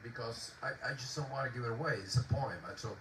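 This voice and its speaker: Puerto Rican-accented man, halting and hesitant. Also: medium pitch, fairly bright tone. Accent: Puerto Rican Spanish. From Puerto Rico. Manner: hesitant, halting, with many fillers. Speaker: composer and pianist. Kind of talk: informal spoken talk to an audience. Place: outdoor garden concert. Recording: distant echoey outdoor recording with noise.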